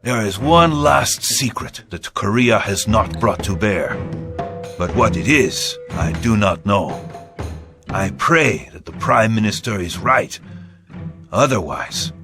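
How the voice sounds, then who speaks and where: An actor playing a grave, commanding Korean admiral in a video game, with solemn, oratorical delivery. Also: male voice, fairly low pitch, animated, takes it slowly, music in the background. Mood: grim, despairing. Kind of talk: dramatic video game cutscene acting.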